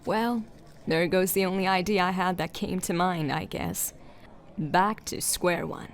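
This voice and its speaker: An actress playing a persistent, skeptical detective in a sci-fi audio drama, questioning in a direct way. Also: female voice, lowish voice, fairly even intonation, unhurried. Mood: apologetic, frustrated. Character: persistent, skeptical female detective, dogged investigator.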